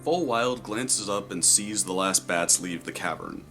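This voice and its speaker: A man reading scripted story narration in a measured, descriptive way. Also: on the low side, fairly bright tone, soft background music.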